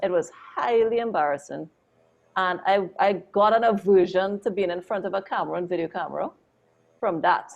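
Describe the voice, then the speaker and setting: This is a woman guesting on an interview, sounding chatty and animated as she recounts her career. Her voice sits somewhat low. The audio is a bit muffled, like a lo-fi video call. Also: moderate pace, creaky. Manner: chatty and animated, peppered with "like" and "oh my God". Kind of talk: casual storytelling interview.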